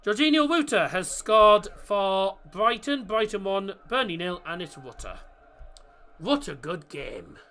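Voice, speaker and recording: A man's voice: high-pitched, lively intonation, measured pace, pushed and bright. A man hosting a live football watchalong, rambling and chatting to viewers, swearing freely. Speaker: watchalong host.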